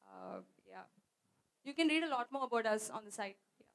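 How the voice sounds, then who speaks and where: A woman with an Indian accent and a slightly high voice answers a question at a live talk. She lays out her points step by step in a professional way. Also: expressive, moderate pace, vocal fry, a bit bright. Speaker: guest speaker, company founder.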